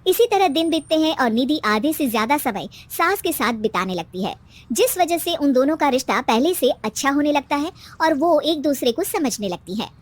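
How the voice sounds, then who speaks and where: A woman storyteller narrating a scripted animated bedtime story. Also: high voice, normal speed.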